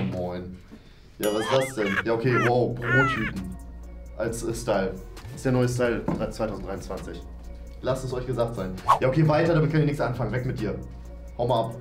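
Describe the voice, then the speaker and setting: A man in his twenties hosting a game video casually, thinking aloud as he plays. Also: mid-range voice. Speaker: game show host and player. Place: small decorated room indoors. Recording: roomy indoor echo, music underneath. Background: background music.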